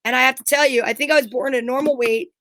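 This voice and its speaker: A woman's voice: sits high, fairly even intonation, very fast, a bit bright, no room sound. A middle-aged woman co-hosting a talk show, chatting casually in a blunt, candid, rambling storytelling way. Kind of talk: casual chat.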